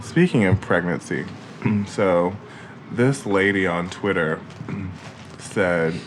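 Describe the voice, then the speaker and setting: A man's voice: sits low, slow pace, slight background noise, poor audio. A man co-hosting a podcast in casual chat, joking and cursing freely. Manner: joking, profane, laid-back. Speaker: podcast co-host.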